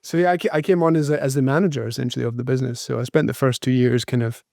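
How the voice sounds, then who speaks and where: A man with a mild Scottish accent, answering interview questions in a measured, modest way and often pausing on "um". His pitch is middle for a man, and his tone is plain. The recording is dry, with no room sound. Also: steady tone, vocal fry, darkish tone, no echo. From Scotland. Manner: measured, many filler ums, modest. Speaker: startup CEO, guest.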